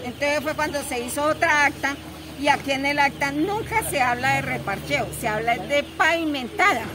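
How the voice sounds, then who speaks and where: A woman's voice: high-pitched, moderate pace. A middle-aged woman from Colombia, a local resident, complaining in a detailed, indignant way during an outdoor street interview. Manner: indignant, detailed, gestures while talking. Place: outdoors on a busy town street. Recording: street noise and traffic behind.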